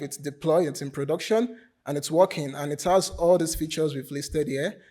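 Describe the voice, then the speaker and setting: Higher-voiced man giving a tech talk. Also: darkish tone. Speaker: software developer giving a talk. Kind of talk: conference lightning talk. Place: conference stage.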